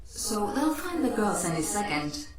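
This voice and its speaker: A woman's voice: medium pitch, big pitch swings, measured pace, over a noisy crowd. A woman playing a blunt, worried ghost companion in an Old West audio drama, folksy and urgent, her voice echoing from a distance. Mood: matter-of-fact. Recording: very echoey, distant, music behind.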